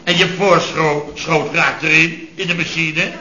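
Blustering, exasperated boss, echoey recording. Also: male voice, a little high, steady tone, takes it slowly. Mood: indignant, dramatic. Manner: blustering, repetitive, indignant. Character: exasperated, blustering male chef boss telling of disasters. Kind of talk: radio comedy acting before an audience. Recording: echoey dull old radio recording, audience noise.

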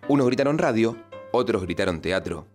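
A man narrating a comic radio introduction in a deadpan storytelling manner. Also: on the low side, fairly quick. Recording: narration over music. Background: music bed.

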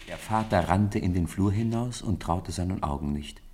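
A man narrating a radio play as a gentle, measured storyteller, with a gravelly, husky voice. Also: deep voice. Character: measured, gentle male storyteller of a Christmas tale.

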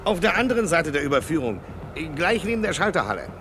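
An actor playing a polite, puzzled railway conductor in a radio drama. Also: male voice, on the high side, sing-song, quick pace, a bit bright, slight background noise. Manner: polite, musing. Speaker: train conductor.

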